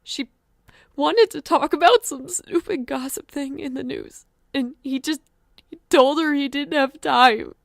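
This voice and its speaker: An actress plays a young woman worn down by depression, bitter and fatalistic. Her voice is full of gravelly creak and recorded very dry, as a character in a dramatized audio story. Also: high voice, a little slow, no room sound. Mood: hurt, accusing. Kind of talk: dramatized horror-fiction audio story acting.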